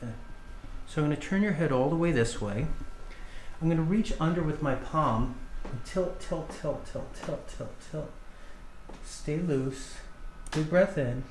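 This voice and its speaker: A man's voice: on the high side, measured pace, long pauses. Middle-aged chiropractor man, echoey room. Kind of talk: hands-on patient talk, explaining adjustments. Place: small indoor treatment room. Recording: echoey room with background noise.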